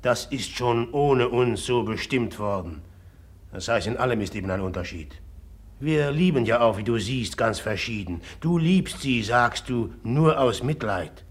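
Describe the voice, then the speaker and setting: Husky, brooding jealous lover. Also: male voice, mid-range voice. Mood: resigned, bitter. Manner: bitter, brooding, broken phrases sinking toward a whisper. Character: brooding, jealous, volatile man tormented by love. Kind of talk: classic German radio drama acting.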